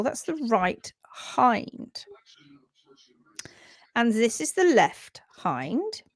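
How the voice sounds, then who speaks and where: Croaky-voiced woman hosting a livestream. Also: medium pitch, no room sound. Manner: chatty, mutters to herself while fumbling with the screen. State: croaky, sore throat. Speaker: host of a hoof care livestream. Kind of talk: casual livestream talk and teaching. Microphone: computer mic on a video call.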